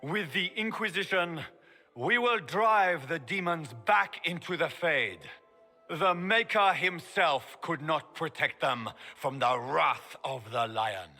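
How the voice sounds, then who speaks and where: Booming, echoing proud warlord emperor. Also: male voice, sharp tone, faint music behind, heavily acted. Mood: proud, resolute. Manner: pompous, declamatory, deliberate. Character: proud warlike emperor addressing his court. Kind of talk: grand ceremonial oratory. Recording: long hall reverb, orchestral game score behind.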